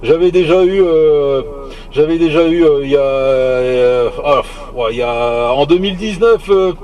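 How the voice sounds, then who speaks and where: A man's voice: a little high, a little slow, darkish tone. A man chatting casually over CB radio as a hobbyist, searching for words and repeating himself.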